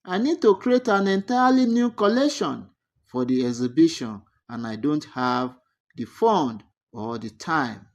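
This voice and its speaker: A storyteller voicing an eager, earnest young male graduate entrepreneur, excited but worried, in a folktale. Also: mid-range voice, measured pace, highs cut off. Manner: earnest, exclaiming.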